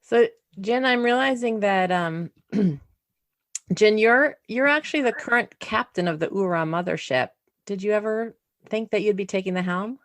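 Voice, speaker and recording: Brief: woman podcast host, mid pitch, dry booth sound. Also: normal speed, dry recording. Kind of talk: casual interview chat.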